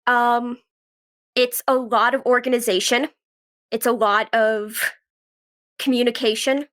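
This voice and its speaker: Female podcast guest, slightly high voice, informal and reflective, conversational delivery. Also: steady tone, unhurried, a bit bright. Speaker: podcast guest, writer and actress. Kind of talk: casual interview conversation.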